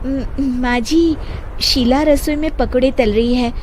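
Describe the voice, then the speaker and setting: A woman voicing a polite, anxious young daughter-in-law in an animated moral story, speaking deferentially and expressively. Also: high-pitched, slow pace. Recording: clearly noisy background.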